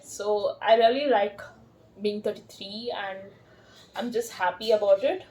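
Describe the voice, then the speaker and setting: A woman in her thirties chatting casually to camera at home, slow and rambling, with many fillers and soft laughs. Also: medium pitch, rough recording. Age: 30s. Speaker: vlogger.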